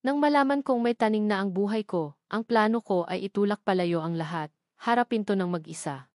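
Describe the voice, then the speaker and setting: A woman voicing a gravely ill, guarded young man in love in a romantic audio drama. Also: medium pitch, a little fast, no echo, clean studio sound, natural voice. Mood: pained, confessing.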